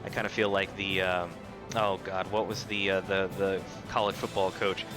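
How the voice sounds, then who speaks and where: A man's voice: deep voice, little pitch movement, normal speed. A man of thirty chatting casually and ramblingly to viewers as he livestreams a video game, with a self-deprecating streak. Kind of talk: casual live gaming commentary, chatting to viewers. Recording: music behind the voice. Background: loud game music.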